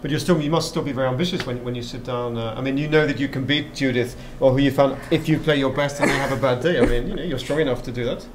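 Fast-talking interviewer man in his forties. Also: medium pitch, noisy background. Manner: quick, repeats words while forming questions. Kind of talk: conversational interview questions. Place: indoor press area before a sponsor backdrop. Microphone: desk microphone.

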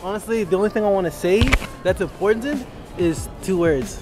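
A man's voice: high-pitched, fairly quick, music in the background. A man in his twenties in casual, playful chat with street slang, repeating phrases for comic effect, picked up by a handheld camera. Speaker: vlog guest, friend of host. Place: busy airport hall and outside its doors. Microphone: handheld camera close by.

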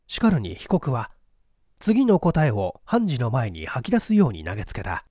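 Expressive, mellow male mystery narrator. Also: medium pitch, moderate pace, breathy edge, no echo, studio quality. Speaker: narrator, audiobook reader. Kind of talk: dramatic literary narration of a mystery story.

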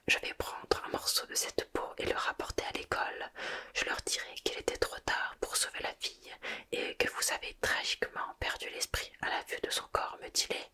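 Smug scheming male wizard, whispered, read by a woman, bright breathy tone. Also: moderate pace, lightly acted. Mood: gloating. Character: vain treacherous adult male wizard.

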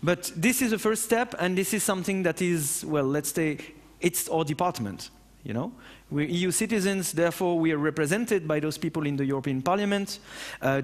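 A man's voice: on the high side, in a large hall. A man in his thirties with a French accent, answering audience questions on stage after a talk, passionate and blunt. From France. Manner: passionate, blunt, with casual swearing. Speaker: conference speaker. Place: large dark auditorium on stage.

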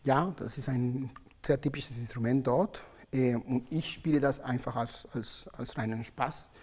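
Mann mit spanischem Akzent, zögerlich erklärend. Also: male voice, mid-range voice, a little slow, a little mellow, husky, big-room sound. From Venezuela. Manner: friendly, hesitant, many ähm fillers. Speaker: orchestral oboist, guest. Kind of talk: casual explaining. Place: stage set, indoors.

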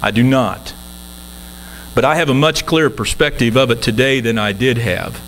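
A man's voice: mid-range voice, normal speed, a bit bright, a little hiss or hum, low-quality recording. Earnest older man preaching from a pulpit. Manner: earnest, conversational, with gestures. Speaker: guest preacher. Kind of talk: sermon. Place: church sanctuary, at the pulpit.

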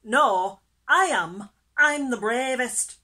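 A woman voicing a boastful little male sea creature in a children's storybook, loud and easily frightened. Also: a little high, huge pitch swings, slow pace, heavily acted. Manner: boastful, shrieking when scared.

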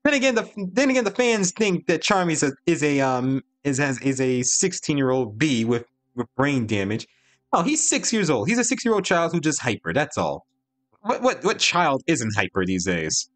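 A man's voice: on the high side, very animated, moderate pace, a bit bright. A man giving casual reaction commentary as the host of a video.

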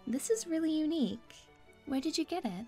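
An actress playing a kind young woman, gentle and reassuring, in an audio drama. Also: high voice, animated, fairly quick, soft background music. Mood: warm, friendly. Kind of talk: amateur audio drama acting.

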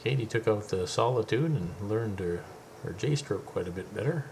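A man's voice: lowish voice, expressive, moderate pace, creaky, a little mellow, slight background noise. A middle-aged man narrating a home video of his family's day by a lake in an easygoing, chatty way. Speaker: father filming a family outing. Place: outdoors by a lake.

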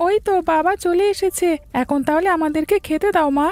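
A woman's voice: very high voice, a little fast, darkish tone, no room sound. A woman voicing a playful, hungry little schoolboy, childlike and eager, in a cartoon story. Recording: background music bed.

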